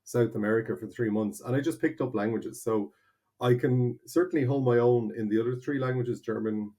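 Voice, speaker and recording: A man's voice: medium pitch, a little fast. A man in his forties from North County Kildare with an Irish accent, a guest in a conversational interview who explains things eagerly, restarting often. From Ireland (North County Kildare). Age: 40s. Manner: animated, explanatory, with many restarts. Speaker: guest, translator.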